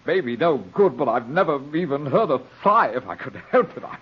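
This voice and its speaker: An actor in a 1940s radio drama playing a weak, overwrought man, halting and stammering with anguish and fear. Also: a little high, big pitch swings, breathy edge. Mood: desperate. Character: weak, frightened, overwrought ne'er-do-well younger man. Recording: muffled vintage 1940s radio recording.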